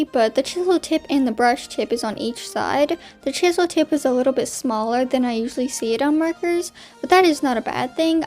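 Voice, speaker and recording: Chatty high-voiced young woman, slightly hoarse. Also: darkish tone. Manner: chatty, many "like" fillers. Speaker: art YouTuber. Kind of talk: casual voiceover narration.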